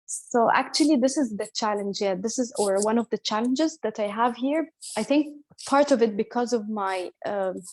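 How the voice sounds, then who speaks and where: Reflective woman, slightly high voice. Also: moderate pace, hardly any pauses, darkish tone, low-quality recording. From Egypt. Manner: candid, reflective, hesitant. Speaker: guest. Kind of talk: casual interview.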